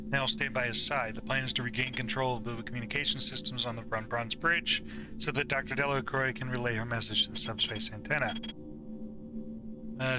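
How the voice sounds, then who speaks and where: A man's voice: mid-range voice, low-quality recording. A man hosting a gameplay video, commenting casually and reading in-game text aloud. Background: loud game music.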